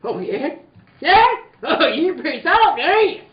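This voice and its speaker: Woman as radio western henchman, rough, stammering, very animated, roomy lo-fi sound. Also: sits high, measured pace. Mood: blustering. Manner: slangy, stammering bluster. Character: rough, nervous, blustering Western outlaw henchman, picking fights. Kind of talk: 1940s radio western acting. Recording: roomy, dull lo-fi recording.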